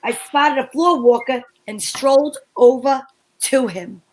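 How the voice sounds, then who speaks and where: Lady doing a sassy wisecracking girl detective, really high voice, arch, old radio style. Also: a little slow, darkish tone, low-quality recording. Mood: indignant, wry. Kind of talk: old-time radio comedy acting and narration.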